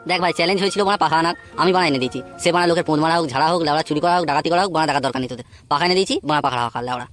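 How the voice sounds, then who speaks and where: A man's voice: on the high side, racing, a bit bright, soft background music, close to an everyday voice. A man voicing a foul-mouthed, blustering young thief in a comic cartoon. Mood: boastful, irritated. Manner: swearing constantly, blustering. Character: foul-mouthed brash young male neighbourhood thief, defiant.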